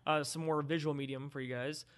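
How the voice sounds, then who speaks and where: Guy hosting a film podcast, talks fast, kinda higher voice, bright. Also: little pitch movement, smooth. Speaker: podcast co-host. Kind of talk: casual chat.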